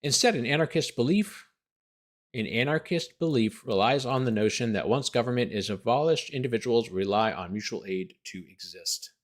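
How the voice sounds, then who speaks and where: Male lawyer-host, medium pitch, document read-aloud with dry, incredulous running commentary. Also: expressive, normal speed. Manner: incredulous, sarcastic asides.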